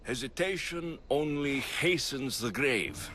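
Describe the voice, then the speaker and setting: An actor playing a brooding, defiant ancient Greek warrior in a video game, earnest and wry. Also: male voice, medium pitch, takes it slowly, natural voice. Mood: reflective. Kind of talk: cinematic video game acting. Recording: music under the voice.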